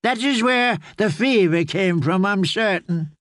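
A man voicing a kindly, courteous old man in rags who tends wounds, in a slow, soothing storybook reading. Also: high voice, lively intonation, a bit bright, no echo, studio quality, voice pushed up. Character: kindly old ragged man, gentle healer.

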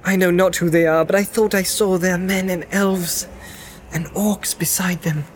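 A man voicing a solemn, haunted gentleman wanderer in an audiobook, in a dreamlike, drifting manner. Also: sits high, fairly even intonation, moderate pace, pitched above the performer's own voice, close to an everyday voice. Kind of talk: dramatic audiobook character reading.

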